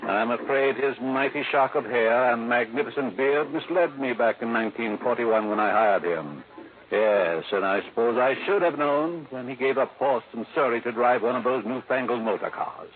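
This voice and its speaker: A man's voice: mid-range voice, moderate pace, close to an everyday voice. Prim, old-fashioned company elder played by a man. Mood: fussily regretful. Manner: formal, fussy and precise, stately wording. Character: prim, old-fashioned, pedantic male senior head of a furniture company. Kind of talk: 1950s radio drama acting. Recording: muffled old radio broadcast, faint noise.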